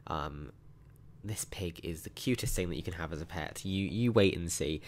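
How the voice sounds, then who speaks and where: A young man with a hoarse, croaky voice from a sore throat, chatting upbeat through a mobile game walkthrough with plenty of ums. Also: low voice, normal speed. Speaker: mobile game channel host. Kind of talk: casual gameplay walkthrough commentary.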